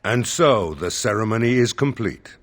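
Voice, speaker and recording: An actor playing a dutiful, wary seneschal in a fantasy game, measured and deferential in his speech. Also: on the low side, expressive. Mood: formal. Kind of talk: scripted fantasy game dialogue.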